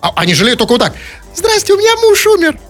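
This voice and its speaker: Lively guy radio co-host, bouncy up-and-down voice, jokey, music quietly underneath. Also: extremely high pitch, fast. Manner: playful, reads listener messages aloud, mimics voices. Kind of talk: comic radio banter.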